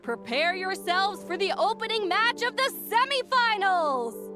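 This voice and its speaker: A woman's voice: very high-pitched, very animated, a little slow, exaggerated voice. A woman voicing a peppy young tournament announcer in a video game, with showy, punchy, projected delivery. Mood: hyped. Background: game music.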